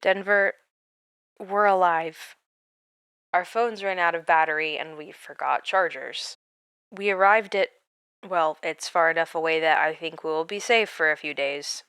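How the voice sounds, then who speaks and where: Woman acting a clear, slow, worried therapist. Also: on the low side, dry recording. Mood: anxious, caring. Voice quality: clear, bright. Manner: hesitant, slow, earnest. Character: worried, caring female therapist leaving a phone message. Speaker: therapist character. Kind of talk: audio drama voicemail acting.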